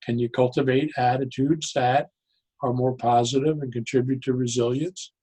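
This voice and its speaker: A man speaking as an interview guest, explaining his views conversationally in halting, deliberate phrases with pauses. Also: mid-range voice, fairly even intonation, warm tone, breathy edge, poor audio. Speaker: interview guest, therapeutic humor expert.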